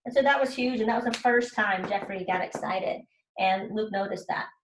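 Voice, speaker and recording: A woman's voice: mid-range voice, normal speed, a little hiss or hum. A woman teacher doing a children's read-aloud, explaining things simply and speaking directly to her students. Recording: roomy, echoey sound.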